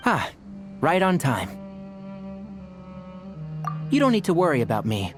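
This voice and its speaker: A man's voice: fairly high pitch, huge pitch swings, unhurried. A man voicing a considerate young expedition companion in a video game, reassuring and articulate. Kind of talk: video game character dialogue. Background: music.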